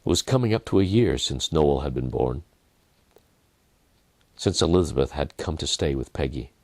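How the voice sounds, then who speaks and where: Really deep voiced guy narrating a dramatic story, measured pauses, dry close sound, bit gravelly. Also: animated, a little mellow, no room sound. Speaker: storyteller reading own story.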